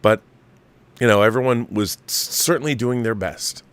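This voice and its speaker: A man's voice: mid-range voice, normal speed, fairly bright tone, clear, resonant tone, no room sound. Man hosting a dry-sounding film commentary stream. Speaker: host of a film commentary livestream. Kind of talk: casual opinionated film review monologue.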